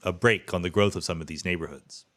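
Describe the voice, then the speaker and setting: Podcast host: American man, fifties, lowish voice, conversational, reworks his questions mid-thought. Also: quick pace, fairly bright tone. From US East Coast. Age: 50s. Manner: thinks aloud, rephrasing questions as he goes. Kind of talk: conversational interview.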